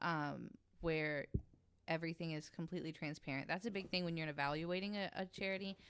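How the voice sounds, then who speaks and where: A woman's voice: low voice, steady tone, brisk, a bit bright, clear, resonant tone. A woman explaining things casually on a podcast, informal and frank in her delivery. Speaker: podcast panelist.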